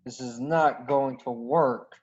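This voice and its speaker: A man's voice: mid-range voice, steady tone, slow pace, a little mellow, lightly acted. A man acting a cocky, skeptical smuggler in a table read, over a virtual call. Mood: impatient. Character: cocky, skeptical male smuggler, gruff but improvising nervously. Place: virtual call from separate location. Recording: dull lo-fi video-call audio.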